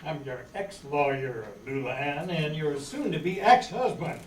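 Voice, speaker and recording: A man's voice: on the high side, a little slow, close to an everyday voice. A man playing a cold, betrayed lawyer husband in an amateur radio play, curt and formal. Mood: cold, indignant. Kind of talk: amateur old-time radio play acting. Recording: noisy, echoey, distant room recording.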